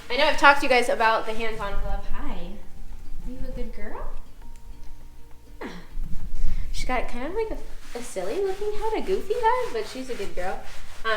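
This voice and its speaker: A woman's voice: a little high, a bit bright, echoey room, slight background noise, low-quality recording. A woman with a head cold and a congested voice, chatting casually in a vlog while caring for horses and talking sweetly to them. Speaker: vlogger and horse rider. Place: horse barn.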